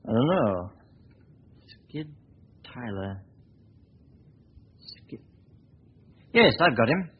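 An actor playing a confident, suave gentleman detective in a 1940s radio drama, brisk and clipped, on an old recording. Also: mid-range voice, very animated, husky, heavily acted. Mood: thoughtful. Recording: dull, noisy 1940 radio recording.

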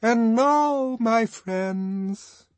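A man voicing a pompous, solemn male church dignitary with a ringing, sepulchral voice and slow, grave delivery. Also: very high voice, clean tone, no room sound, voice pushed up, heavily acted. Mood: grand.

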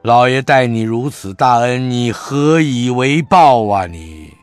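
A man voicing a shrewd male scholar-adviser who speaks in a sharp, crafty way. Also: mid-range voice, slow, smooth, dry recording, faint music behind, close to an everyday voice.

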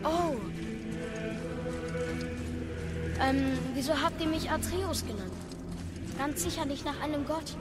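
A woman's voice: high voice, normal speed, natural voice. A woman playing a curious, earnest young boy, a warrior's son, in a game-based audio drama. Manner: inquisitive, eager. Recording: loud music behind the voice.

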